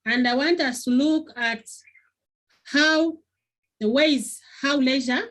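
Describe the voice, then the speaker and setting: Slow high-voiced woman teacher. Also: a bit bright, poor audio. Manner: repeats key phrases, questions pupils often. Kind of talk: online class lesson. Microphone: computer on a video call.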